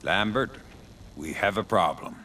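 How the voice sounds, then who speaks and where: A man playing a dry, laconic veteran covert operative in a video game, deadpan and unhurried. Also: deep voice, far from the mic, noisy background. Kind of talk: video game cutscene acting.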